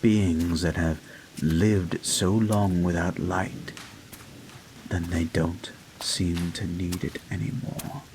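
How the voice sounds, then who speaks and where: A man playing a creepy, obsessive hypnotist therapist in a dark guided-meditation roleplay, speaking in a hushed, deliberate, poetic way. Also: deep voice, fairly even intonation, slight background noise. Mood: hushed, eerie. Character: creepy, obsessive male hypnotist therapist, tenderly menacing, unshakeable.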